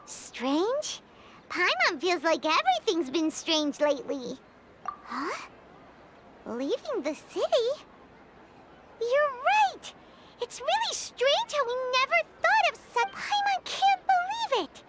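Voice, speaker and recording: Tiny excitable fairy companion for a game: extremely high, childlike, squeaky, very animated. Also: female voice, takes it slowly, heavy background noise, heavily acted. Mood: puzzled, animated. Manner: chirpy, speaks of self in third person. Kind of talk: video game voice acting. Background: game music.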